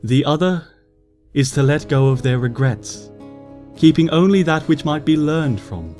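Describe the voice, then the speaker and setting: A man voicing a wise, gentle female moon princess pony who speaks in a formal, slightly old-fashioned way in a storybook reading. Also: fairly high pitch, expressive, unhurried, faint music behind, pitched above the performer's own voice. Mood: tender. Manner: formal, archaic-tinged, tender speech.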